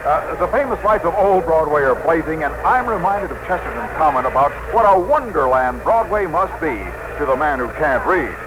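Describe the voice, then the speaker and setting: A man's voice: on the high side, animated, a little fast, few pauses, noisy background, close to an everyday voice. A man playing an earnest, easily flustered street reporter in a radio drama, polite and sentimental in announcer style. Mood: earnest, reflective. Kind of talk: 1960s radio acting, live commentary. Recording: old radio broadcast with background music.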